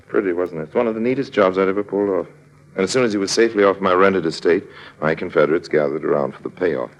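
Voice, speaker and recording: A man's voice: low-pitched, fast, muffled recording. An actor playing a suave, wry swindler who narrates his own con in a confiding 1950s radio drama style. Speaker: narrator and lead character. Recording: old radio recording with crowd noise.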